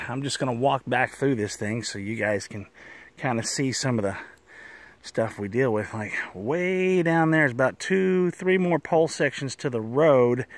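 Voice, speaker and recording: A man's voice: medium pitch, dry recording. Folksy man telling stories, dry sound. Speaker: vlogger, cable installer. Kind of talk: casual first-person storytelling vlog. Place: outdoors on a wooded trail. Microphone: handheld phone.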